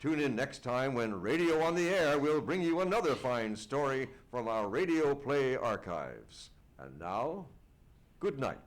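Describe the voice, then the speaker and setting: Man playing old-time radio announcer, medium pitch. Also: sing-song, measured pace. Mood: formal sign-off. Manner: formal, polite presentation.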